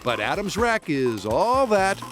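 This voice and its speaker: A man narrating a science documentary in a lively, swooping voice that sits a little high for a man. He delivers scripted lines with a playful, punning touch, with music running underneath. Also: a little slow.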